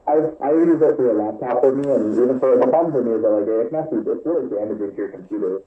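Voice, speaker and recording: A man talks quickly and casually, rambling as he searches for words. The sound is phone-thin and roomy, like a radio playing in a room, with faint static. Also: medium pitch, phone-quality sound. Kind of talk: casual chat.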